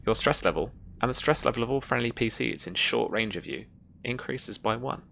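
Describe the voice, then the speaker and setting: A game master running a tabletop roleplaying game, explaining rules step by step in a relaxed, informal way. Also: medium pitch, a little fast, creaky, slight background noise.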